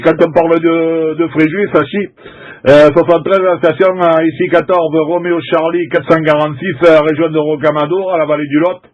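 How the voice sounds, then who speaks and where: A middle-aged man from France talks as a CB operator in a somewhat high-pitched voice. His chat is casual and colloquial, halting and circling back over the same phrases. The sound is a little dull and lo-fi. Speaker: amateur CB radio operator. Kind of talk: casual CB radio contact chat.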